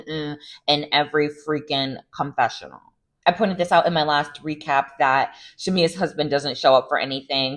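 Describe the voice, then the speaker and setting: Low-voiced chatty woman recapping TV. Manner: chatty and opinionated, with sarcastic asides. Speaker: recap vlogger. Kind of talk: casual reality TV recap monologue.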